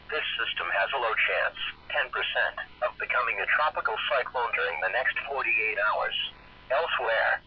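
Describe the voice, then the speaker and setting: A synthetic male voice reads a scripted weather forecast as a radio announcer, measured, stiff and impersonal. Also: mid-range voice, slightly rough. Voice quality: synthetic text-to-speech voice. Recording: dull, noisy weather radio broadcast.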